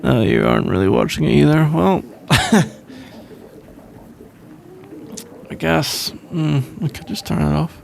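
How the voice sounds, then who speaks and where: Man acting as shy college boyfriend, British accent, slightly higher-pitched, fry-laden voice, sleepy, hesitant rambling, ASMR roleplay. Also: very animated, heavily acted. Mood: drowsy, affectionate. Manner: hesitant, halting, rambling with soft laughs. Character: sleepy, shy, easygoing young male college student boyfriend.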